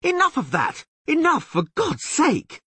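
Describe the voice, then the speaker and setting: A man's voice: huge pitch swings, a little slow, no echo, full character voice. A man doing a shrieking, frantic poet. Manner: shrill yelling. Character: frantic panicking male poet.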